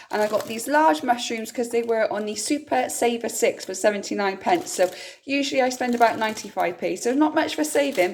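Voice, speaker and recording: A woman, a little hoarse and croaky from a cough, chatting through her grocery haul in a homely, rambling way. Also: fairly high pitch. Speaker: vlogger. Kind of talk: casual grocery haul vlog.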